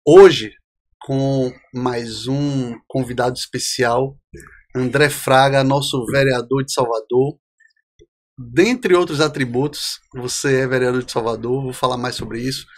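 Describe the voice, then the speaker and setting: A man hosting a podcast who interviews a guest in a casual, slightly halting way. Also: medium pitch, steady tone.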